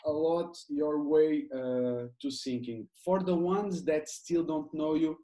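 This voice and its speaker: Man, interview host, warm dark tone, somewhat high pitch, non-native phrasing. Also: fairly even intonation, takes it slowly. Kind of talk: casual interview.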